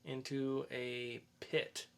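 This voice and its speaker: A man's voice: medium pitch, completely flat delivery, drawn-out words, vocal fry, a bit bright, clean tone. A man co-hosting a podcast in a casual, chatty style. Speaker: podcast co-host. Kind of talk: casual chat with a quiz segment.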